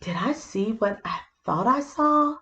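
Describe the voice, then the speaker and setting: Woman playing an exasperated high-pitched lady of the house. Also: animated, a little slow, pushed and bright, roomy sound, exaggerated voice. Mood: wary. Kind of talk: animated picture book read-aloud.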